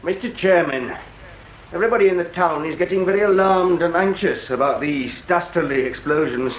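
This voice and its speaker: Male actor, blustering councillor, formal, complaining, high-pitched, roomy muffled radio drama recording. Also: fairly quick, slight background noise, close to an everyday voice. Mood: indignant. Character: agitated, self-important male town councillor, insistent and complaining. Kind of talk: British radio drama acting.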